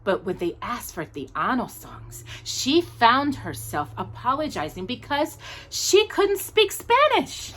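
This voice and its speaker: A woman with a husky edge to her voice reads a children's story aloud. Her pitch moves up and down in a lively way, and she leans on key words with pauses, as a storytime host does. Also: low-quality recording. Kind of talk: expressive children's picture book read-aloud.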